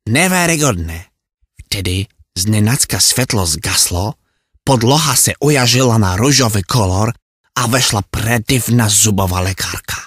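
A man's voice: lowish voice, a bit bright, breathy edge, dry recording, clean studio sound. A man playing a hapless, exasperated Czech lost in Poland, ranting in a comic monologue with a Czech accent. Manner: flustered ranting in garbled mock Czech-Polish.

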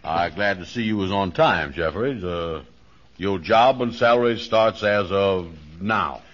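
Low-voiced, boastful radio comedy blowhard. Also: male voice, measured pace, a bit bright. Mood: self-important. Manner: folksy, ungrammatical, puffed-up delivery. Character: boastful, naive, self-important grown man. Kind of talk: 1940s radio comedy acting. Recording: dull vintage radio recording.

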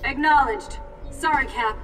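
An actress playing a serious military superheroine in a game cutscene, reporting in a clipped, businesslike way. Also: female voice, high-pitched, measured pace, a bit bright, long reverb tail, far from the mic, faint music behind. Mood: businesslike urgency.

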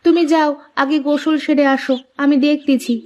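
A woman voicing a practical village housewife in a cartoon, colloquial and gently bossy. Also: sits high, fairly even intonation, moderate pace, lightly acted. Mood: brisk. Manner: colloquial, instructing, matter-of-fact. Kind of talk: cartoon character dialogue.

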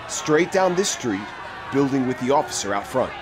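An actor playing a gruff, cynical security cop in a video game, brusque and dismissive. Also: male voice, medium pitch, very animated, moderate pace, natural voice. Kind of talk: video game dialogue acting. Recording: noisy street with crowd behind.

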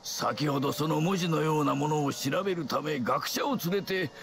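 Earnest, high-pitched anxious king. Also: moderate pace, gravelly. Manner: formal, earnest, apologetic. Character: anxious, deferential middle-aged king and worried father. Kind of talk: Japanese fantasy game voice acting. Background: game music.

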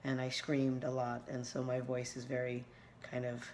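A woman in her thirties with a hoarse, scratchy voice, chatting casually through an unboxing at home, rambling with many fillers and restarts, picked up by the built-in mic of a camera close by in a small room. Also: a bass voice, completely flat delivery, normal speed, a little mellow. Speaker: unboxing vlogger. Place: small room indoors at night.

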